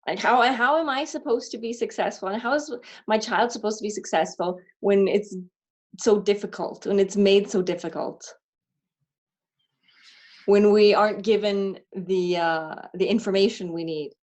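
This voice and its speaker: Frustrated mother, candid and halting. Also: mid-range voice, dull audio. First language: not German. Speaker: parent doing the worksheet. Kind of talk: reflective self-inquiry dialogue.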